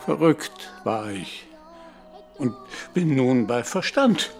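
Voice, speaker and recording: Slow, creaky dying old knight. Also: male voice, mid-range voice, big pitch swings, soft background music, heavily acted. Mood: calm, resigned. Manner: solemn, measured, formal delivery. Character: dying old male knight, lucid, repentant, gentle. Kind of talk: literary radio play acting.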